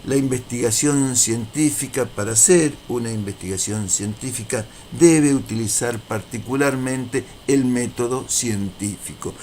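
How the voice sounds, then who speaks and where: A man lecturing in a deliberate, didactic way, repeating key phrases for emphasis as he presents prepared material. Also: medium pitch, a little mellow, a little hiss or hum. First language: Spanish. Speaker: university lecturer.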